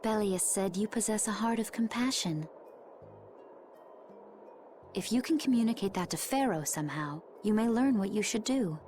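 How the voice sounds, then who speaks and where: An actress playing a calm, secretive and dutiful young woman warrior in a video game. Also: medium pitch, moderate pace, pauses often, natural voice. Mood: calm, grave. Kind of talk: scripted video game voice acting. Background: game music.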